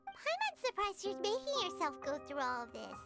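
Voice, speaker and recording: A woman's voice: huge pitch swings, a little fast, gravelly, exaggerated voice. A woman voicing a tiny, childlike, earnest fairy companion in a video game. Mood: chirpy. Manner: squeaky, childlike, refers to self in third person. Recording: game dialogue over loud tinkling music.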